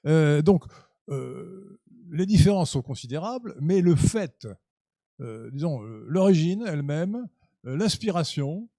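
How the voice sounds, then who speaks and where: A man's voice: a little high, lively intonation, measured pace, vocal fry, dark tone, no room sound, studio quality. A man hosting a political club talk, speaking unscripted in a lecture style, full of fillers and restarts, and growing emphatic. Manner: hesitant, many fillers and restarts, rising to emphatic.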